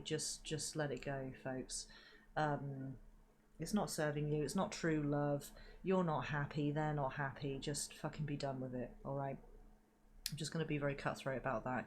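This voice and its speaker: A woman's voice: low voice, darkish tone. A woman giving a rambling spiritual reading with many fillers and casual swearing, sounding stuffy and sniffly from hay fever. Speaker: spiritual reader.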